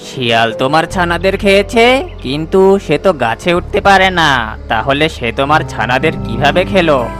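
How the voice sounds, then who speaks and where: A man voicing a shrewd crow who questions and counsels a friend in an animated moral story. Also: on the high side, normal speed, over music, lightly acted. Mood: concerned.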